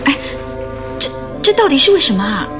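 An actress playing a chatty, gossipy, playful young newlywed wife in a radio drama, with an exclamatory way of talking. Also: female voice, high-pitched, sing-song, fairly quick, over music. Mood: chatty, eager.

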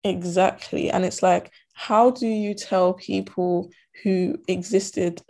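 Low gravelly woman, earnest. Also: fairly even intonation, takes it slowly, mellow. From Ghana and Togo. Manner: earnest, many fillers and restarts. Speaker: student guest. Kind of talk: casual conversation. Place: diaspora.

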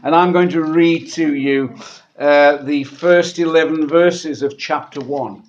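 Guy preaching at church, fairly high voice thats a bit husky from a sore throat, muffled lo-fi sound. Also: steady tone. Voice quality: slightly gravelly, husky. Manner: halting, conversational, often repeating words. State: sore throat after a medical procedure. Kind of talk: church sermon with scripture reading. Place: church, speaking to a congregation. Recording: dull, muffled church recording.

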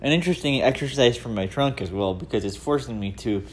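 A man's voice: medium pitch, fast talker, slight background noise. An American man hosting a casual personal audio diary, talking in a chatty, rambling way. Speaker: podcast host. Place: indoors at home, room with laminate floor.

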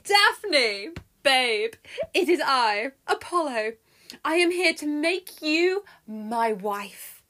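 A woman's voice: high voice, big pitch swings, very slow, heavily acted. A British woman voicing a vain, needy male god in a comic myth, pompous and pleading. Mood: smug wooing. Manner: pompous, pleading, loud declarations.

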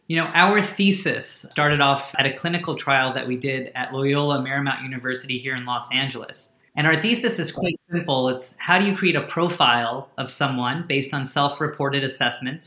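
Bright-voiced founder in an echoey room. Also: male voice, mid-range voice. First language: Hindi. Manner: earnest, explanatory. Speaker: startup founder, guest. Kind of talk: podcast interview.

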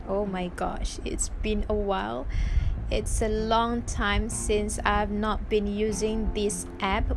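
A woman whose first language is Malay, speaking English slowly in a casual reflection with frequent pauses and restarts. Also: medium pitch. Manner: slow, searching, many restarts. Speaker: vlogger practicing English.

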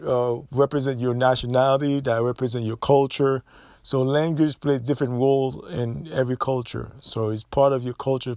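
A man's voice: medium pitch, a little mellow, dry recording. Man, solo podcast host, emphatic and repetitive, dry close sound. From Haiti. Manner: opinionated, emphatic, repeats himself.

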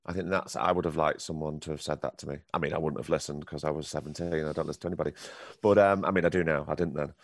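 A man with a very deep voice, a professional actor answering a youth theatre group's questions with candid, encouraging advice. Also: fairly even intonation, very fast, dry recording. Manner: candid, encouraging advice with frequent ums and restarts.

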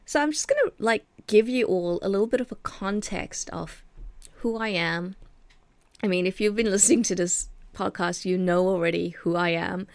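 A woman's voice: medium pitch, moderate pace, dry recording. A young South African woman with a South African accent, hosting a podcast and telling her personal story in a chatty, self-deprecating way. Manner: chatty, self-deprecating, frequent fillers and laughs. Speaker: podcast host.

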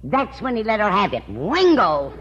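A woman's voice: medium pitch, huge pitch swings, moderate pace, fairly bright tone. An actress playing a chatty, scatty older landlady in vintage radio comedy style, folksy and gossipy. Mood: chatty, gleeful. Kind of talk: 1940s-50s radio sitcom acting. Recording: dull old-time radio recording, faint noise.

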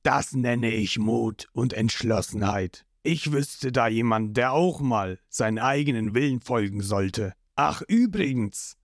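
A man voicing a gruff older male head chef who speaks in a calm, dry, knowing way. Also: medium pitch, measured pace, hardly any pauses, dry recording, voice pushed down.

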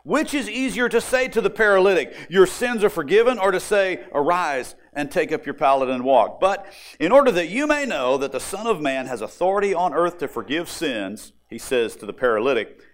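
A man preaching a sermon, moving between folksy, conversational storytelling and Bible teaching, with dramatic pauses. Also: fairly high pitch, animated.

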